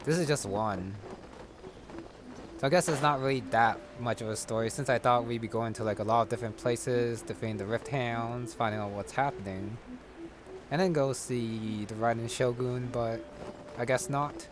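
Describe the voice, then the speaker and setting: A man giving casual commentary on his gameplay, musing aloud in a low-key way. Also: moderate pace, background noise. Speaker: gamer commenting on his playthrough. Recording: loud game music and effects behind. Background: game music, combat crashes.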